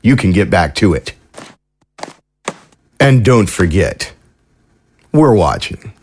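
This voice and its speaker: An actor playing a cold, smug government agent who delivers threats in an audio drama. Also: male voice, low-pitched, very animated, slow pace, a little mellow, a little hiss or hum, heavily acted. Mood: menacing.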